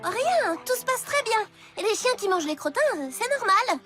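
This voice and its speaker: Very high-pitched, theatrical cartoon kid. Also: female voice, very animated, normal speed, over music. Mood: flustered. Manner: theatrical, exclamatory. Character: mischievous schoolchild, excitable, narrating schemes and mishaps. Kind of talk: French animated series dubbing.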